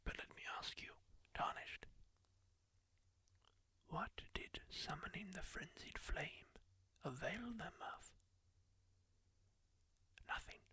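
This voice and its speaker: A man voicing a kindly masked healer in a fantasy roleplay, telling a grim story in a soft, husky whisper. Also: on the high side, expressive, exaggerated voice. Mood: hushed solemn. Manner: slow, hushed, solemn storytelling to the listener. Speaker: ASMR roleplay performer.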